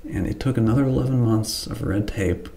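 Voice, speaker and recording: A man's voice: on the low side, a little fast, dark tone, slight background noise. A man in his forties hosting his own vlog, speaking plainly and earnestly, with ums and restarts. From Ohio, United States. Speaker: channel host, property developer. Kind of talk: casual vlog narration. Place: outdoors beside the barn. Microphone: camera held at arm's length.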